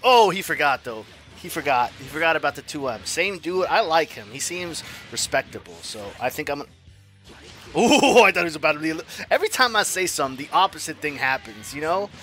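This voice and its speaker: A man in his forties talks through his online fighting game matches, muttering plans one moment and yelling at his opponent the next. His voice sits high and bright and swings widely in pitch. He curses freely and mocks himself between rounds, while game music plays faintly behind him. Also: big pitch swings, brisk. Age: 40s. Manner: profane, self-mocking trash talk. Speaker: gaming YouTuber. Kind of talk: live gameplay commentary.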